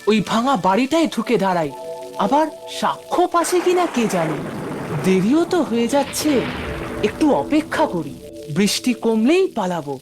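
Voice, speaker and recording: Cartoon schoolboy, played by a woman: high, lively, wheedling and excitable, over prominent music. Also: moderate pace. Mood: eager, scheming. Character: mischievous, eager young schoolboy who loves mangoes. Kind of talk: animated cartoon voice acting. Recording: music behind the voice.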